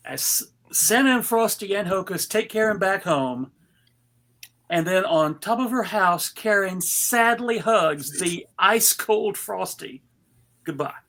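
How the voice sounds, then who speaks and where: Man, host of a table read, lively swooping pitch, slow pace, casual storytelling. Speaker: host and narrator of live script reading. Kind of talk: animated story reading and casual banter.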